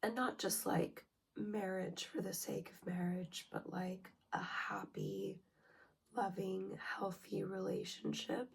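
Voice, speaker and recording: A young woman with a hoarse, breathy voice from a cold, her words often slipping into a whisper. She talks slowly with frequent pauses and ums, and a gravelly fry sits under her tone as she shares a personal self-help vlog. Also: mid-range voice, expressive. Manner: slow, hesitant, with many ums and soft whispery breaths. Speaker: relationship vlogger.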